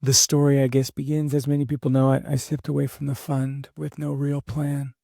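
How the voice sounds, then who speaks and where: A young man losing his voice, talking candidly and haltingly in a reflective personal monologue as a podcast host. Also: medium pitch, completely flat delivery, vocal fry, mellow, no echo, studio quality. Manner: halting, candid, many fillers and restarts.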